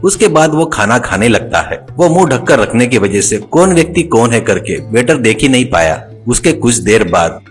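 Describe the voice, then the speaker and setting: A man's voice: mid-range voice, big pitch swings, normal speed, few pauses, faint music behind. A man telling a comic story as its narrator.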